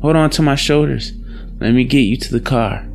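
An actor playing a smooth-talking, reassuring husband in an audio drama. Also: male voice, medium pitch, moderate pace, faint music behind, close to an everyday voice. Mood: attentive. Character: smooth-talking, placating husband and farm worker.

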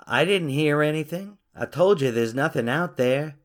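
A man's voice: a little high, expressive, moderate pace, natural voice. A man playing a cocky, amorous boyfriend in a horror drama, coaxing and dismissing his date's fears. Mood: coaxing, impatient.

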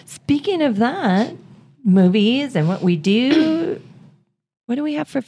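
Encouraging woman co-host, higher voice with lots of vocal fry, expressive. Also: slow pace, a little hiss or hum. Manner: encouraging, lots of backchannel responses. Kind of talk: casual group chat.

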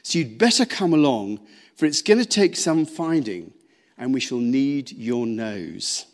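A man voicing a kindly, practical male water rat who speaks briskly and gently. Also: animated. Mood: pleasant. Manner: brisk, then gentle and low.

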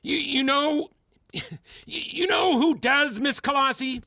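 A man's voice: mid-range voice, expressive, moderate pace, husky. A man playing a manipulative, patronising mentor in an audio drama, speaking articulately and with control as a self-styled father figure. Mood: indignant, agitated.

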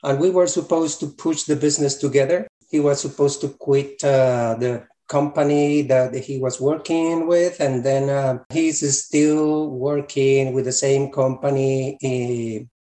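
A man's voice: medium pitch, fairly even intonation, unhurried, few pauses, dark tone. A middle-aged man from Colombia with a Colombian Spanish accent, answering interview questions about his repair shop in a hesitant way with many fillers. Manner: hesitant, many fillers and restarts, loose grammar. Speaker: repair shop owner, guest. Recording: echoey room.

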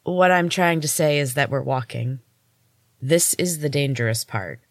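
A woman's voice: sits low, a little slow, no room sound. Storybook rebel guide played by a female narrator: strange woman, amused and knowing, very dry recording. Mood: wry, knowing. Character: strange older rebel woman, amused, smoking, guiding a newcomer.

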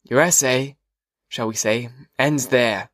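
A man's voice: on the high side, a bit bright, no echo, studio quality, voice pushed up, natural voice. A man doing a sarcastic old schoolteacher. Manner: sarcastic, slow and lecturing. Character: old sarcastic male history teacher.

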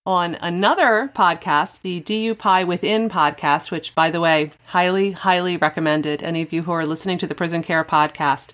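A woman with a slightly low voice hosts a podcast. She talks conversationally with her guest. Her manner is encouraging and affirming. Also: fairly bright tone. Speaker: podcast host. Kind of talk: conversational interview.

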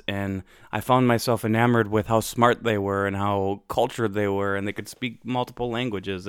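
A man's voice: fairly low pitch, clear, resonant tone, no echo, studio quality. A young American man hosting a podcast, telling his own story in a reflective, conversational way with frequent fillers and restarts. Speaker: podcast host.

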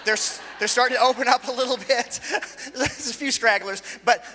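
High, bright-voiced preacher in his forties. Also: normal speed, slight background noise. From Los Angeles, California. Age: 40s. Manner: loose, joking, conversational with frequent restarts. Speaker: visiting preacher. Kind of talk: sermon. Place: church hall. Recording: echoey hall with long reverb.

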